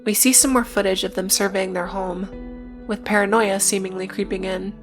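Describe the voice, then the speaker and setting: A woman's voice: medium pitch, steady tone, moderate pace. Woman narrating evenly over music. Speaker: video essay narrator. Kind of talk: scripted narration with casual asides.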